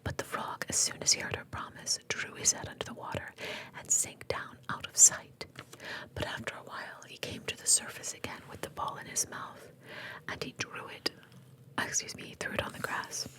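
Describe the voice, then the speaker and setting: A woman reading fairy tales aloud in a breathy, unvoiced ASMR whisper, slow and deliberate. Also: poor audio. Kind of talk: whispered ASMR storytelling with asides.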